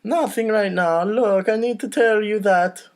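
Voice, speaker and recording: Man putting on a squeaky, awkward nervous guy. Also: measured pace. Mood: nervous, blurting. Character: awkward, squeaky nervous man bringing bad family news. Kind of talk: comedy table read.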